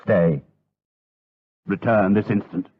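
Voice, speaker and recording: A man's voice: lowish voice, fairly even intonation, takes it slowly. A man playing a villainous, guilt-ridden monk in a gothic audio drama, commanding and anguished in a melodramatic way. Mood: menacing, tormented. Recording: tinny band-limited old radio drama.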